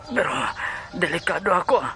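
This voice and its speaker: A man playing a battered, vengeful captive tough guy in a comic action audio drama, with exclamatory, drawn-out delivery. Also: high voice, animated. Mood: pained, defiant. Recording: loud music behind the voice.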